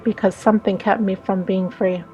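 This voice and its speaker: A woman's voice: medium pitch, little pitch movement, normal speed, darkish tone, muffled recording, faint music behind, natural voice. A woman voicing a lonely, wistful female passenger in a visual novel, musing and hesitant. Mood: melancholy. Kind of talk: visual novel read-aloud.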